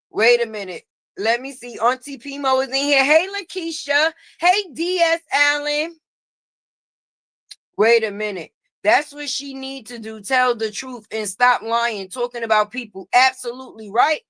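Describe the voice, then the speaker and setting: Loud sassy high-voiced woman streamer. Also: no room sound. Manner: sassy, loud, greets viewers by name. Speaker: live stream host. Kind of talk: casual gossip commentary.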